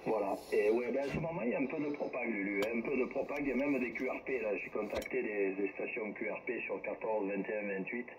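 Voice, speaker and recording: A man's voice: medium pitch, brisk, few pauses, background noise. A man chatting casually as he runs an amateur radio group contact over a radio transmission. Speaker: amateur radio operator passing turns in a group chat. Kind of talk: casual ham radio chat. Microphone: radio transceiver microphone.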